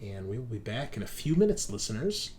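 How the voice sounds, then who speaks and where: Male game master, fairly deep, improvised tabletop narration, vivid and emphatic, slightly noisy recording. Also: fairly quick. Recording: steady background noise.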